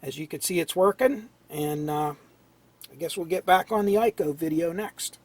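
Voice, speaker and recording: A man with a hoarse, fading voice, casually explaining an old radio repair at an electronics workbench, clearing his throat now and then. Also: on the high side, moderate pace. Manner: relaxed, talks while tinkering, frequent throat clearing. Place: cluttered electronics workbench indoors.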